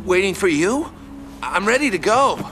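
A man's voice: high-pitched, very animated, moderate pace, noisy background. An actor playing a nervous, eager male worker waiting to be rescued.

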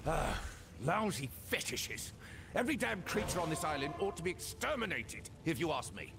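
An actor playing a brusque, world-weary, middle-aged port master in a video game, irritable and dismissive. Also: male voice, a little high, big pitch swings, normal speed, gravelly. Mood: grudging. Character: gruff, irritable male port master of a swamp island. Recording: noisy game ambience behind.

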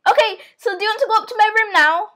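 Excitable very high-voiced teenage girl gamer. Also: very fast, heavy background noise. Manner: excitable, repeats exclamations. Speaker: gaming video host. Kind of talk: dramatic roleplay commentary.

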